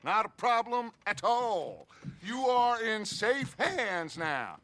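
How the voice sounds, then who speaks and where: A silver-tongued outlaw leader from a western video game, grandiose and flattering. He speaks slowly, his bright voice rising and falling widely. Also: high voice, slight background noise, heavily acted. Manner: grandiloquent and smooth-talking, unhurried. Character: smooth-talking middle-aged male outlaw leader, grandiose. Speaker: gang leader. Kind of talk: video game cutscene acting.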